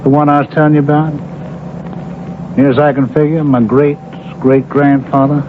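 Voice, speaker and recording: An actor playing a folksy, menacing old-West drifter with a drawling rural Western American accent in a radio drama. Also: male voice, fairly high pitch, level tone, normal speed, natural voice. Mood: folksy, determined. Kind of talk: 1970s radio drama acting. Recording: muffled, noisy old radio drama broadcast.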